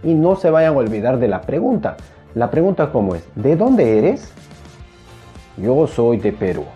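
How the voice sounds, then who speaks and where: A young man teaching a language lesson to camera in an emphatic, animated manner, repeating phrases. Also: mid-range voice, highs cut off. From Peru. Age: young adult. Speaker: Spanish teacher. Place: small indoor room, plain wall. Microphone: clip-on lapel mic.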